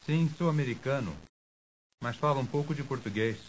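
A man playing a polite American visitor asking for directions in a careful, deliberate language-lesson dialogue. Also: medium pitch, lightly acted. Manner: deliberate, clearly enunciated, courteous. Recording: muffled hissy old language tape.

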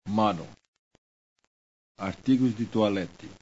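A man reading language course drills in slow, deliberate, evenly paced sentences. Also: fairly low pitch, darkish tone. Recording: dull lo-fi course tape.

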